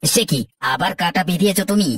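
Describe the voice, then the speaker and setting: A man's voice: high-pitched, fast talker, distant mic, music in the background, close to an everyday voice. A man voicing an exasperated, sarcastic husband in a Bengali family cartoon, with a dry, chiding manner. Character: exasperated, sarcastic husband scolding his greedy wife.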